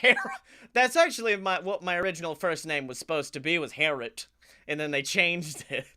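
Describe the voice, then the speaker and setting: An American man co-hosting a comedy podcast, telling stories casually in an animated, sweary way with chuckles in his speech. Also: a little high, normal speed. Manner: animated, swearing, often chuckling while talking. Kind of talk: casual comic storytelling.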